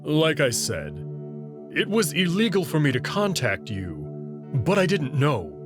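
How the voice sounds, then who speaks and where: A man voicing a proud, guarded male alien who explains things slowly and carefully. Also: fairly high pitch, wildly swooping pitch, music in the background. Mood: calm. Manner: measured and slow, prideful about his species. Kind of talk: visual novel reading.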